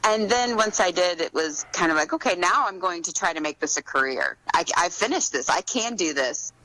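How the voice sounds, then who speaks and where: Low-pitched, bright-voiced woman in conversation. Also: expressive, fairly quick, no room sound, low-quality recording. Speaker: guest author being interviewed. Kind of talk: conversational interview answers.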